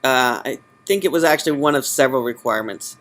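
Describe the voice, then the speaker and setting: A man answering as a job candidate in an informal interview, halting and often correcting himself. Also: medium pitch, fairly bright tone. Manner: halting, hesitant, self-correcting. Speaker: job candidate in user experience design.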